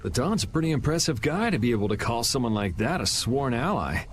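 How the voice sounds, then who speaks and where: Laid-back young game hero played by a man, mid-range voice with lively swings, faint ambience. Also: medium pitch, brisk, slight background noise, close to an everyday voice. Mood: cool, curious. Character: cool, independent young male swordsman, wry rebel. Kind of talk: video game voice acting.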